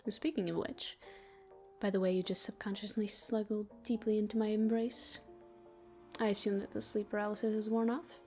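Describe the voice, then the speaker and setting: Soft, mellow demon comforter voiced by a woman. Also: medium pitch, level tone, soft background music, lightly acted. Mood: tender, teasing. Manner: soothing, unhurried, intimate, partly whispered. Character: gentle, protective, teasing female sleep paralysis demon comforting a human. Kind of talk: ASMR comfort roleplay.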